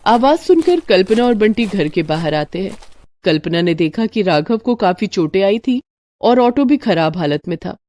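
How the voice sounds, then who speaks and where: A woman's voice: medium pitch, very animated, normal speed, a little mellow. A woman narrating a scripted moral story as a storyteller.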